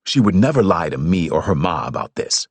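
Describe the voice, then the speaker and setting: A man's voice: low-pitched, sing-song, brisk, breathy edge, no room sound, exaggerated voice. A man reading aloud, voicing a stern, commanding older father who rules his family firmly.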